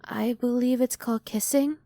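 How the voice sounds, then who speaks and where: A woman playing a curious, emotionally reserved female alien in an ASMR roleplay, soft-spoken, with formal, measured and precise wording. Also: a little high, fairly even intonation, no room sound, lightly acted.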